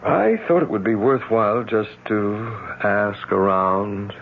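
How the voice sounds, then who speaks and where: A protective male physician in a vintage radio play. His voice sits on the low side, measured and doubting. The recording is thin and phone-like, with clear noise. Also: animated, full character voice. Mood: measured skepticism. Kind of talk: old-time radio drama acting.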